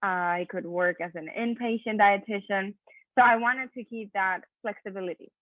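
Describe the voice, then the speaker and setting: A woman's voice: mid-range voice, normal speed, no echo. Spanish-speaking woman from venezuela, 30s, chatty slide talk over a video call, thin phone-line sound, dry. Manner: conversational, many fillers and self-corrections. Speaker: physician assistant giving a talk. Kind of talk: online presentation with slides.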